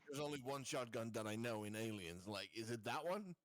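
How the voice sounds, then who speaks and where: A man's voice: mid-range voice, animated, brisk, crowd noise. A middle-aged man chatting casually and jokingly as a player in a tabletop game.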